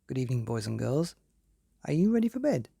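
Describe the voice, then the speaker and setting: A man hosting and reading a children's bedtime story, with theatrical, dramatic emphasis and drawn-out words. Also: medium pitch, sing-song, vocal fry, mellow, slightly rough, no echo, clean studio sound. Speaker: podcast host and story reader.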